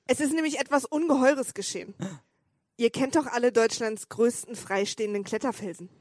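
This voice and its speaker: A woman's voice: on the high side, normal speed, darkish tone, dry recording, clean studio sound, lightly acted. A woman playing a flustered, dramatic client who briefs boy detectives in a comic audio play. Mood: dramatic, urgent. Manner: dramatic, impatient.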